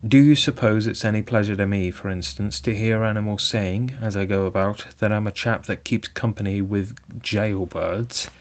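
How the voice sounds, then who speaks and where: A man's voice: on the low side, normal speed, hardly any pauses, natural voice. A man reading aloud and voicing a sensible male water rat who scolds his friend earnestly. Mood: scolding.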